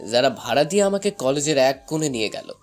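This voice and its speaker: Young guy reading a romance story, really lively swings in his voice, music underneath. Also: medium pitch, brisk. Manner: expressive, dramatized storytelling. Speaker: story narrator. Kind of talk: scripted first-person love story narration with dialogue. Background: background music.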